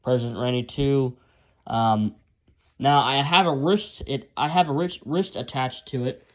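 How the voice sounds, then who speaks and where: An American man giving a casual, unscripted product demonstration as an amateur reviewer, rambling and halting in an informal way. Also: medium pitch. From United States.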